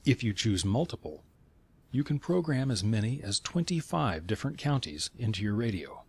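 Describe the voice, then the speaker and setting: A man reading scripted instructional narration in a measured, step-by-step way. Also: fairly low pitch, very animated, breathy edge.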